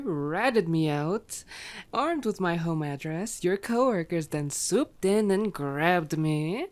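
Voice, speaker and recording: Slow, sardonic female vampire played by a woman. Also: low voice. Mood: resigned, bitter. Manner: sarcastic, exasperated, casually profane. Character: sardonic, teasing female vampire, wounded and grumbling. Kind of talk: ASMR roleplay acting.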